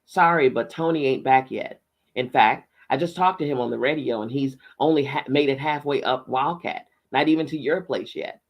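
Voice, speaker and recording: A man's voice: mid-range voice, normal speed, natural voice. A man voicing a matronly, gossipy small-town postmistress with folksy, bantering talk in a read-aloud story. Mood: chatty, helpful.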